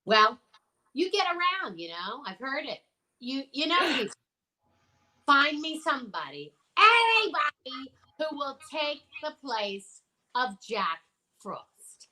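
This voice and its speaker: Woman doing a frazzled store lady in an old radio play, high n sharp, slangy, fed up. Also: very animated, slow, full character voice, rough recording. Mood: pleading. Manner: emphatic, exasperated, slangy. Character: Myra Fisher, frazzled sharp-tongued department store woman. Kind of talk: amateur reenactment of old-time radio drama.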